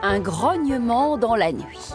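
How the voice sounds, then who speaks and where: A woman narrating a scripted children's story with expressive delivery. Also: on the high side, slow. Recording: music behind the voice.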